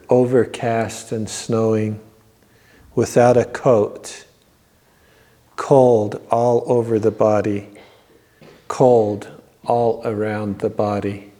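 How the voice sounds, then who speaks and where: Very slow, mellow man guiding meditation. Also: on the low side, flat delivery. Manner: measured, repetitive, instructing. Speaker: guided meditation teacher. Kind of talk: yoga nidra guided meditation. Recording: long reverberant echo on voice.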